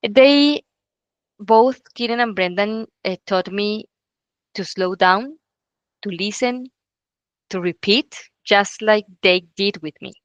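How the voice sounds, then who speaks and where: Slow, hesitant Colombian woman speaking. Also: mid-range voice, dry recording, lo-fi sound. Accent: Colombian Spanish. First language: Spanish. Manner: hesitant, many filler sounds. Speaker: speaker giving a talk. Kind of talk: personal storytelling talk. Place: stage talk.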